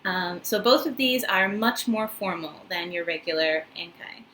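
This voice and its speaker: Bright-voiced New Zealand woman. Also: lowish voice, expressive, normal speed, a little hiss or hum, poor audio. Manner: chatty, friendly, soft-spoken with frequent ums and uhs. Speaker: vlogger, English teacher in Japan. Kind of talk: casual talk to camera. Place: small room indoors, wooden floor, paper screens.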